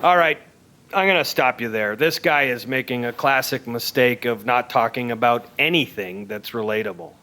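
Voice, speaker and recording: A smug male stand-up teacher, played by an actor in an audio sitcom. He is offhand and lazy, pleased with himself, and talks in a medium-pitched voice. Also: moderate pace, sharp tone, a little hiss or hum, natural voice. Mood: dismissive. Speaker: comedy class instructor. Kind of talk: sitcom podcast acting.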